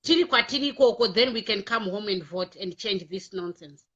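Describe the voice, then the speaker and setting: A woman's voice: medium pitch, a little fast, fairly bright tone. A woman from Zimbabwe with a Zimbabwean accent, calling in to a live show and speaking earnestly, mixing English with Shona. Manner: earnest, repeats herself, code-switches to Shona. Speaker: caller. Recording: muffled call audio.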